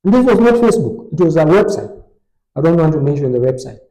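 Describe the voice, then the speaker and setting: A man on a podcast, explaining an online scam step by step in a relaxed conversational way, with frequent fillers. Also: on the high side, fast, echoey room, rough recording. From Kenya. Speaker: technology expert on a podcast. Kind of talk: conversational storytelling.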